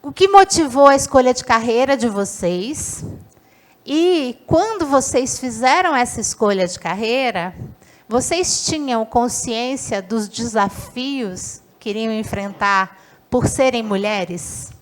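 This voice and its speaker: A woman's voice: a little high, slow pace. A woman giving a short talk and then moderating a panel, speaking emphatically. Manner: emphatic, lively.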